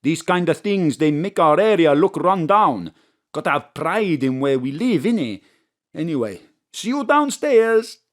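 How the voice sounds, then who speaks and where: Cheeky café guy bantering away, voice bouncing up and down and pitched a bit high, home studio mic. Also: unhurried. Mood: cheerful. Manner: bantering, with a lively lilt. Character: cheeky middle-aged male café owner.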